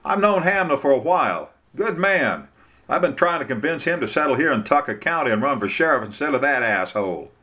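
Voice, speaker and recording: Man voicing a folksy small-town lawyer, pitched up. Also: big pitch swings, fairly quick, pushed and bright. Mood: blunt, confiding.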